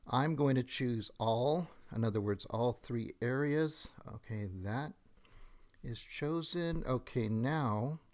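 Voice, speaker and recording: A middle-aged man narrating a software demonstration step by step, methodically thinking aloud as he goes. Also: mid-range voice.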